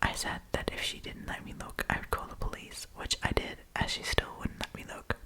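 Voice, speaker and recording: A woman's voice: fairly quick, pushed and bright. Female ASMR storyteller, entirely whispered, informal and chatty, reading aloud with offhand commentary. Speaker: host reading and reacting to online stories.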